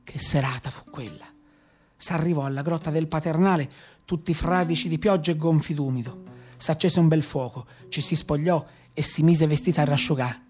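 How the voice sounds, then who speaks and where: An actor playing a rough, boastful Maremma brigand in a theatrical monologue, with a Tuscan accent and earthy, colloquial storytelling. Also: male voice, fairly high pitch, moderate pace, a little mellow, soft background music, lightly acted. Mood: gruff recounting.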